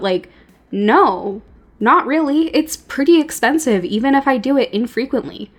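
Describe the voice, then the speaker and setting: A woman hosting a podcast, delivering a scripted but conversational monologue of advice with wry emphasis and deliberate repetition. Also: mid-range voice. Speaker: podcast host giving advice to creators.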